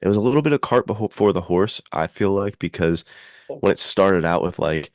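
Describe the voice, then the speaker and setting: A man hosting a podcast, discussing films casually and in an animated way. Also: low-pitched, a little fast, dry recording, studio quality. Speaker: podcast host.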